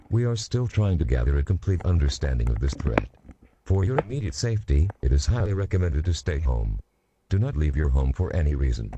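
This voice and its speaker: A man reading a scripted national emergency alert in a measured, formal, instructional manner. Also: very deep voice, mellow, slight background noise. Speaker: emergency broadcast announcer.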